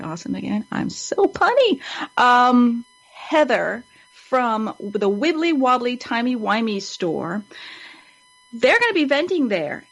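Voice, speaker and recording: A woman with a somewhat high-pitched voice co-hosts a fan show. She talks in a casual, rambling way and keeps an informal, upbeat manner throughout. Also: fairly bright tone, poor audio. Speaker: co-host of a fan web show. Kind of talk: casual chat.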